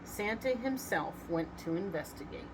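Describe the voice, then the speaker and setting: A woman reading a storybook aloud in an expressive storytelling style, with casual asides. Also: medium pitch, normal speed, background noise. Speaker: storybook reader.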